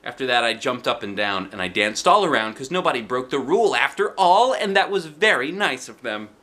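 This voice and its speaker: A man reading a children's storybook aloud in an expressive, theatrical narrating style. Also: medium pitch, slight background noise. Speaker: storybook reader.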